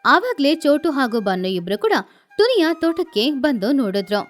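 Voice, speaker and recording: A woman's voice: high-pitched, very animated, a little fast, soft background music. A woman narrating a scripted children's story.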